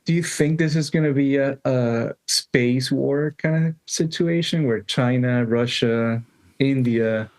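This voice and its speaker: A male podcast co-host with a very creaky, gravelly voice at an average male pitch. He talks in an informal, conversational style. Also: slow pace, darkish tone. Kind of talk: casual podcast chat.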